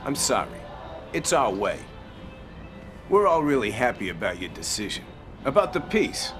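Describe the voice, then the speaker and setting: An actor playing a slippery, volatile mobster in a video game crime drama. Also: male voice, medium pitch, sing-song, normal speed, music in the background, natural voice. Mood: smooth politeness.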